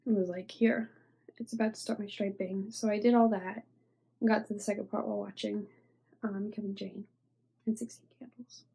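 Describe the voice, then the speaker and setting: A woman's voice: medium pitch, flat delivery, moderate pace, vocal fry, warm tone, breathy edge, low-quality recording. A young woman hosting a knitting podcast, stuffy from a cold, rambling casually with many fillers and often trailing off into near-whispers. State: stuffy, congested. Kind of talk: casual show-and-tell chat.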